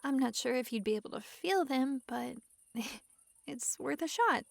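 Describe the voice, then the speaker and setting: A young fox girl played by a woman speaks high and slowly in an intimate ASMR roleplay. She is coy and doting, with a gently possessive, coaxing softness, and her voice has a creaky edge in a very dry, close sound. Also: animated, dry recording, exaggerated voice. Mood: tender, coy. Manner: soft, breathy sighs and giggles, coaxing, hesitant asides.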